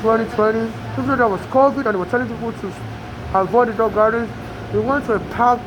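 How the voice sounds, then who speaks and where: A woman's voice: fairly high pitch, steady tone, fast talker, background noise. A woman from Nigeria, speaking American English with a trace of a Nigerian accent, tells her story in a rambling, aggrieved monologue with many restarts. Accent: Nigerian-tinged American English. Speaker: immigrant telling her story. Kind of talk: personal monologue to camera.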